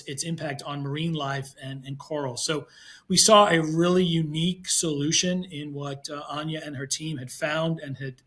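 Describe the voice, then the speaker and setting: A man answering as an investor guest in an interview, conversational and halting, often repeating words and phrases. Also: a little high, fairly bright tone.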